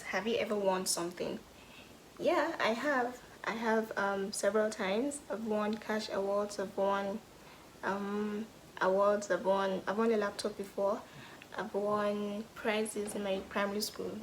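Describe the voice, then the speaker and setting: A young Nigerian woman answering get-to-know-me questions in a casual vlog, thoughtful, pausing often and sometimes dropping to a whisper. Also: medium pitch, slight background noise, poor audio. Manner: thoughtful, pauses with "hmm" and "um", drops to a soft whisper at times. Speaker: vlogger.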